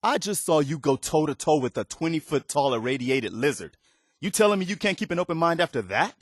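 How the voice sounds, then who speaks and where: A man playing an earnest, weary, idealistic militia leader in a video game, speaking in a measured, sincere way. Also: on the high side, animated, dry recording, studio quality. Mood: challenging. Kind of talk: video game character dialogue.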